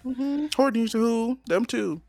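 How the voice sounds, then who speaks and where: A man's voice: extremely high pitch, normal speed, gravelly, a little mellow, dry recording, soft background music. A young man co-hosting a podcast in casual chat, playful and jokey, sometimes breaking into silly sing-song. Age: young adult. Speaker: podcast co-host.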